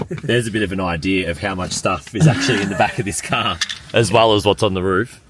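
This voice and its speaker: A man's voice: on the low side, fairly quick, background noise. A man on an off-road trip, trading laid-back jokes in casual banter. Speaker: fellow 4WD driver. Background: background music.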